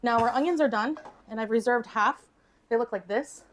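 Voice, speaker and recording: A woman with a fairly high voice talks through a recipe in a casual vlog style. She explains each step conversationally, with slight noise and clicks behind her in a home kitchen. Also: normal speed. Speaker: cooking vlogger.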